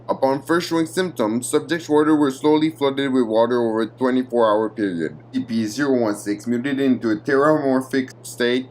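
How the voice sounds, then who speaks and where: A man narrates a clinical horror document in a formal, measured way. His pitch is average for a man. The recording has a faint hum and noise behind the voice.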